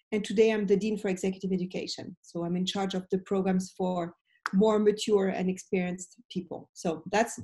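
A woman's voice: mid-range voice, warm tone. A woman in her late forties with a French accent, a professor giving conversational interview answers on a video call from home. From France. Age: late 40s. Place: indoor room at home. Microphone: video call, computer microphone.

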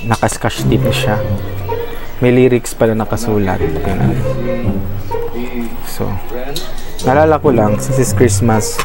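A Filipino man in his thirties with a Filipino accent, presenting casually as a YouTube host and switching informally between two languages. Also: medium pitch. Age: 30s. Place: home, room and open garden. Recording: music behind the voice. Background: loud music.

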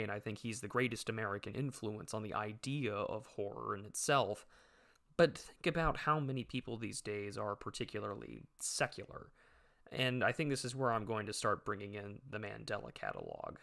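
Gravelly young man musing outdoors. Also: medium pitch, a bit bright. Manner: thoughtful, musing, with many asides. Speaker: video essayist. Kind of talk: reflective monologue. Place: outdoors by a pond in open country.

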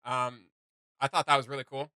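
A man's voice: medium pitch, level tone, a little fast, bright, forceful tone, clear, resonant tone, dry recording, clean studio sound. A man giving casual, chatty reaction commentary as a host, often feeling his way through a phrase. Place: small home gaming room, indoors.

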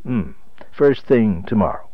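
A man's voice: fairly low pitch, very animated, unhurried, lo-fi sound. An actor playing a nervous, weary divorced man with a hesitant delivery in a radio drama. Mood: weary, resigned. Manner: hesitant, stammering.

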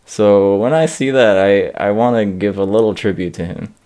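Young man, from Iraq, comedian, interview, low voice, reflective, laughing asides. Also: normal speed, dark tone. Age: young adult. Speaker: stand-up comedian. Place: studio against a plain grey backdrop.

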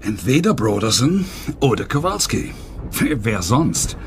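An actor playing a brisk police officer in a radio drama, explaining eagerly in slightly hesitant, foreign-sounding phrasing. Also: male voice, fairly low pitch, big pitch swings, slight background noise.

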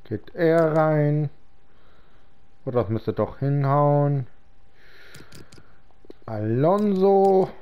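A man with a slight cold narrates his own game match in a relaxed, halting way, leaving long pauses between short phrases. His voice sits a little high and his pace is very slow. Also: clear, resonant tone, lo-fi sound. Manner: slow, halting talk with many pauses and fillers. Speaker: gaming channel host. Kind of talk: casual gameplay commentary.

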